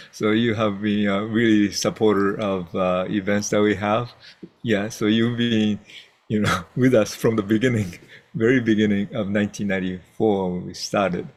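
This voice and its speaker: Soft-spoken, low-voiced man host. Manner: soft-spoken, full of fillers and restarts. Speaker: host, reverend. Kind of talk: hosting a memorial event.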